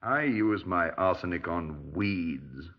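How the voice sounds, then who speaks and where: An actor playing a genial, breezy middle-aged British retired major in a 1950s radio drama, clipped and dismissive. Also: male voice, low-pitched, lively intonation, slow pace, full character voice. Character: genial, dapper retired army major, breezy small-town murder suspect. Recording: muffled 1950s radio recording.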